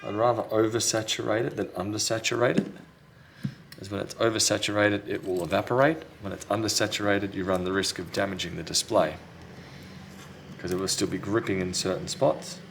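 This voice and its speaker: A man's voice: lowish voice, fairly even intonation, brisk, vocal fry, a little hiss or hum. A man talking casually and thinking aloud as he presents a hands-on repair tutorial. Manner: unscripted, thinking aloud while working. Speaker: repair technician, video host. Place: indoors at a repair workbench.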